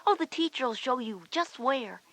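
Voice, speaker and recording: A woman's voice: high-pitched, lively intonation, unhurried, a bit bright, dry recording, exaggerated voice, low-quality recording. A woman playing a confident schoolgirl big sister who explains things reassuringly in a scripted children's audio drama. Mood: reassuring, bossy.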